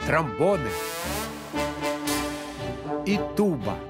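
An actor playing an elegant grasshopper conductor in a children's cartoon, teaching patiently in a theatrical, measured manner. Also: male voice, fairly high pitch, sing-song, loud music behind the voice, exaggerated voice. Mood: patient, explanatory.

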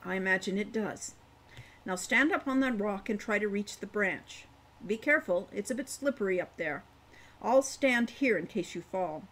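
Serious older brother voiced by a woman, businesslike, cautious, restrained, slightly husky, fantasy audiobook. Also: medium pitch, animated, moderate pace, a bit bright.

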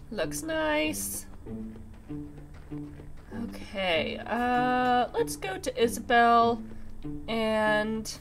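Congested woman doing casual gaming commentary. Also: fairly high pitch. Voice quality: slightly nasal, congested. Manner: chatty, talks to herself. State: congested with a sinus infection, recovering from a cough and sore throat. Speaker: gaming video host. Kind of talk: casual let's play commentary. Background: video game music.

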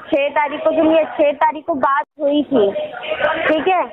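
A woman's voice: sits high, fairly even intonation, measured pace, a loud crowd. A woman working as a loan recovery agent, speaking insistently in a phone conversation. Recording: phone line with busy voices behind.

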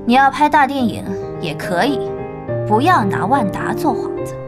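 A woman playing a scornful, sharp-tongued company shareholder in an audio drama, challenging and critical. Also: high voice, lively intonation, moderate pace, loud music behind the voice. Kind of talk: Chinese audio drama acting.

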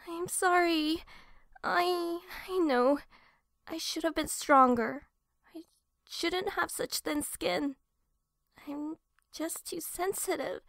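A woman's voice: very high-pitched, fairly even intonation, takes it slowly, a bit bright, no room sound, studio quality, heavily acted. A woman playing a meek, easily flustered young office worker in an audio drama, stammering and apologetic. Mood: tearful, ashamed.

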